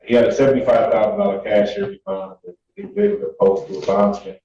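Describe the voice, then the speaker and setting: Guy lawyer pleading w a judge, hesitant, mellow slightly creaky voice, super echoey courtroom. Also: mid-range voice, sing-song. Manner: halting, hesitant, deferential. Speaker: defense attorney in a court hearing. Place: courtroom, picked up from a distance. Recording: echoey, distant, muffled courtroom audio with noise.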